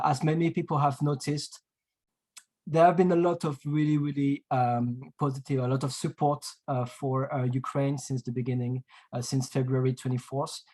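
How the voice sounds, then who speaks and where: A man from France with a French accent, hosting an online webinar in a halting way, full of fillers and restarts, heard over a video call. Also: mid-range voice, darkish tone. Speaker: webinar host.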